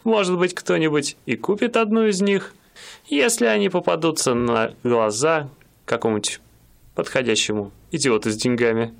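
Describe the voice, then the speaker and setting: An actor playing a proud, wry young painter. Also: male voice, a little high, moderate pace, gravelly. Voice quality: wry, self-mocking. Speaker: actor in a radio play. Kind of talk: radio play acting.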